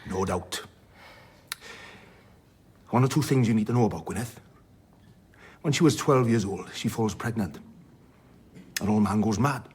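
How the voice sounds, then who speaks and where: An actor playing a sardonic, blunt, worldly doctor in a radio drama, wry and plain-spoken with a husky voice. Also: male voice, lowish voice. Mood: needling. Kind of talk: radio drama acting with narration.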